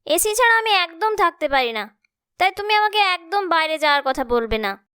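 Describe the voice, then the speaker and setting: Spoiled cartoon college girl, very high bright voice, petulant, defiant, stubborn. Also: big pitch swings, normal speed, no echo, studio quality, exaggerated voice. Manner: whiny, petulant. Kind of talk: Bengali cartoon story acting.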